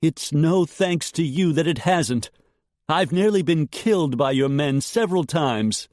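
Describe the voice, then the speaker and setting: A man doing a shrill, defiant young woman. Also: animated, unhurried, a little mellow, no room sound, clean studio sound. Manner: shrill, cries out at a distance.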